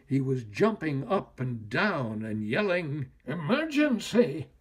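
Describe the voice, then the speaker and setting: An older man reading a children's story aloud in an expressive, deliberate, dramatic way. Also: medium pitch, husky. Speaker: storyteller, actor.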